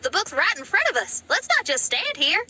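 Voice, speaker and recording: A woman's voice: extremely high pitch, big pitch swings, brisk, bright, forceful tone, slight background noise, full character voice. A woman playing a brash, laid-back young adventurer in an anime game. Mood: eager.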